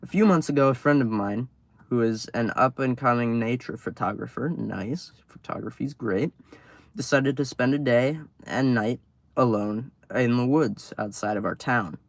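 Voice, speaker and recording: A man reading horror stories on a live stream, outdoors at night, casual and tripping over words, his voice going hoarse. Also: mid-range voice, moderate pace, no room sound. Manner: swears freely, stumbles over words. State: losing his voice.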